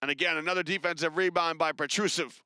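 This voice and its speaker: Bright, crisp male basketball commentator. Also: a little high, no room sound, clean studio sound. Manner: brisk, chatty, rises with excitement on big plays. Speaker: play-by-play commentator.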